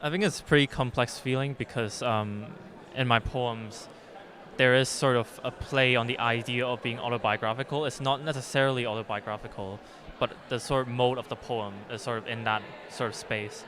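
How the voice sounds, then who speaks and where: A man's voice: mid-range voice, fairly even intonation, normal speed, a bit bright. A young man from Hong Kong whose first language is Cantonese, answering interview questions thoughtfully with many fillers and restarts. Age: young adult. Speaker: poet, interview guest.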